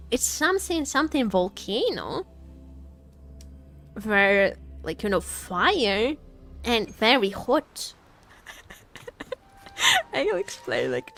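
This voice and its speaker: Playful woman streaming a game, high lively voice, kinda slow talker, repeats herself to chat. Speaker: livestreamer playing a game. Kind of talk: casual chatty game stream commentary.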